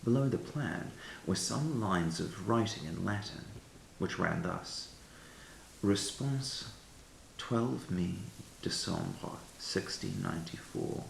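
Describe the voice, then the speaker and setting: A man reading a literary ghost story as a narrator, in a measured, formal storytelling manner. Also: deep voice, vocal fry. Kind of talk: scripted literary audiobook narration. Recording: echoey room with faint hiss.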